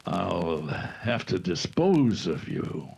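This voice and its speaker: A man performs a ruthless gang boss in an old-time radio adventure. His voice is gravelly and husky and swings widely in pitch. He takes his time, halting and menacing. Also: sing-song, a little mellow, full character voice. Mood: cold menace. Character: Red Markheim, ruthless gang boss posing as a grandpa.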